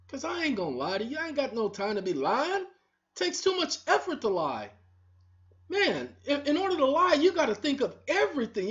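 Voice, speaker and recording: Scolding woman preaching at viewers, lo-fi. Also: high-pitched. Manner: preachy, scolding, repetitive, talks straight to the audience. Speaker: online video host. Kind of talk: confrontational monologue to viewers. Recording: dull lo-fi recording.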